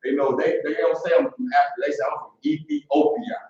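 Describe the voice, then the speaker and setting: A man's voice: on the high side, very animated, brisk, a little mellow. A man chimes into a class discussion in casual street speech. He is far from the mic in a very echoey space, and the sound is dull. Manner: casual, loose street phrasing. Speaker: class member reading scripture. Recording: very echoey, distant, dull recording.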